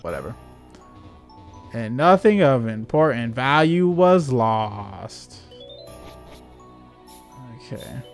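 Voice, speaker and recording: A man's voice: medium pitch, very animated, takes it slowly, long pauses. A man doing casual, laid-back gameplay commentary as the host of a gaming video, with mumbled asides. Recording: game music behind. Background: video game music.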